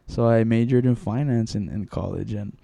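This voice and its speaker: Young man, from Mexico, casual interviewee, dark smooth tone, close and dry, halting delivery. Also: lowish voice, no room sound. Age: young adult. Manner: rambling, many restarts and fillers.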